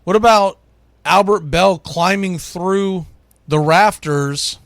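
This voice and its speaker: A man's voice: high voice, slow pace, a bit bright, no echo. A man from the United States hosting sports radio, talking casually and with firm opinions as he counts down a list, leaning on key words.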